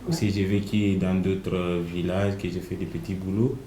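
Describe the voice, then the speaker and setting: Low, mellow man, hesitant second-language speaker. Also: flat delivery, moderate pace. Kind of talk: casual halting account. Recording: echoey room, faint noise.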